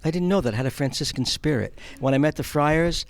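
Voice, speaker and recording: Middle aged new york guy, gravelly voice, plain spoken and kinda hesitant, chatting in an interview. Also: on the high side, fast talker, a little hiss or hum. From Long Island, New York, United States. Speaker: guest, film producer and charity house director. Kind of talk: conversational interview.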